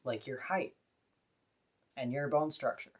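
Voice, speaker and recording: A woman with a deep voice, talking to camera in an earnest, opinionated, plain-spoken personal vlog. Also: steady tone, a little slow, breathy edge. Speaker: vlogger.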